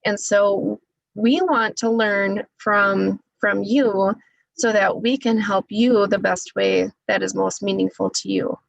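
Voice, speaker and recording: A woman presenting a webinar in a loose, conversational manner, with a gravelly edge running through her voice. The sound is dry and close, with no room around it. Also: medium pitch, fairly even intonation, slow pace, no room sound, highs cut off. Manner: conversational, with fillers. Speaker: speech therapist and teacher.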